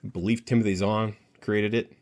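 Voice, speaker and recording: A man hosting a casual panel chat, speaking in a rambling, halting way as he thinks aloud. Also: on the low side, flat delivery, a little mellow. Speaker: podcast host.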